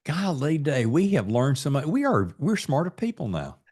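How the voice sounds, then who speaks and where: A man's voice: fairly high pitch, sing-song, moderate pace, a little mellow, breathy edge. A man hosting a podcast in a casual, chatty way with a folksy, jokey manner. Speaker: podcast host.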